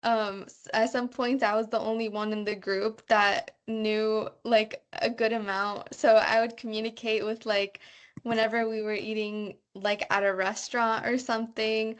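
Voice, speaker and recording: A woman's voice: fairly high pitch, level tone, moderate pace, clear, resonant tone. A young woman, a student abroad, chatting casually about daily life, with many fillers and "like"s. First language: bilingual. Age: young adult. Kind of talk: casual conversation.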